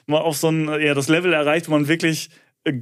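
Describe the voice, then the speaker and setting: A man's voice: fairly high pitch, level tone, quick pace, smooth. A man in his thirties co-hosting a podcast, speaking in an easygoing, slangy way. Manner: laid-back, slangy, easygoing. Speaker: podcast co-host. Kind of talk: casual chat.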